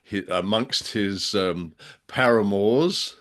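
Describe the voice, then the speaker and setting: Low-voiced Australian man, wordy and genteel. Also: takes it slowly, a bit bright. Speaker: co-host of a radio drama review show. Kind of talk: casual discussion of old radio drama.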